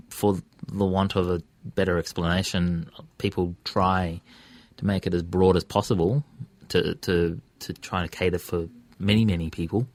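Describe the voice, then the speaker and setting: A man's voice: low-pitched, no echo. An Australian man speaking casually as an interview guest, earnest and thinking aloud as he gives his views. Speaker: interview guest, community radio presenter and podcaster.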